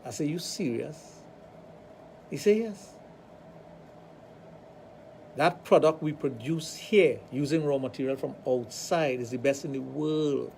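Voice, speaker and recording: A man's voice: mid-range voice, unhurried, gravelly, pauses often, slight background noise. Trinidadian man telling stories on radio. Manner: animated storytelling, acts out dialogue. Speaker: radio show host, sexologist. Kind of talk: radio talk show chat.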